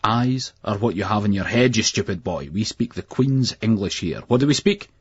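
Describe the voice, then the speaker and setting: A pompous, sneering Scottish schoolmaster barks clipped orders at a pitch a little above a husky, dry voice, as a man reading a novel aloud puts him on. The recording is slightly muffled and close, with no room sound. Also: lively intonation, moderate pace, no echo. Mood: stern, sneering. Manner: stern, clipped, mocking. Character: strict pompous male schoolteacher, middle-aged.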